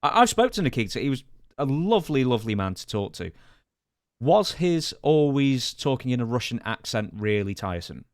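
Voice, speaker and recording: A man's voice: mid-range voice, big pitch swings, moderate pace, clear, resonant tone, no room sound, clean studio sound. A man interviewing casually as a podcast co-host.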